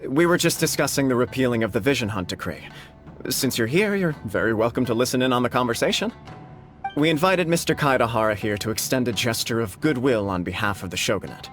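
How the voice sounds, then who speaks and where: A composed noble official, voiced by a man, speaking with polished formality and careful persuasion. His mid-pitched voice stays level and diplomatic. The dialogue comes from a game cutscene with faint music in the background. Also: animated, fast, fairly bright tone, natural voice.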